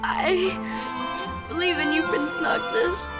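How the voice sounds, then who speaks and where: A woman as a frightened, trusting little child in a video game. The voice is extremely high, with loud music behind it. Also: slow. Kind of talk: video game cutscene acting.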